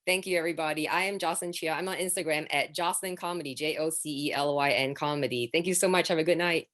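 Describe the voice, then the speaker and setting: A young woman from Singapore telling jokes in a stand-up set. Her voice sits low, then jumps into shrill bursts on punchlines. She keeps a dry, deadpan tone between them. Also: fast talker. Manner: deadpan delivery with sudden high-pitched exclamations. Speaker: stand-up comedian.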